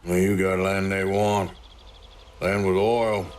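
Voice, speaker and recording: An actor playing a weary, regretful outlaw of the Old West in a video game, with a rural American Western drawl and a plain-spoken, subdued delivery. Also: male voice, low-pitched, little pitch movement, slow pace, a little hiss or hum. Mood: grim. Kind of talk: naturalistic dramatic video game acting. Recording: dramatic music behind the voice.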